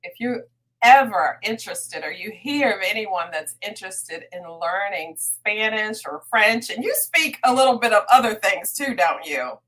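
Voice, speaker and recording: A woman's voice: mid-range voice, lively intonation, moderate pace, a bit bright, rough recording. A woman hosting a talk show, encouraging her guest and talking to viewers with a friendly "y'all". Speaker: show host. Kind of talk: casual interview chat.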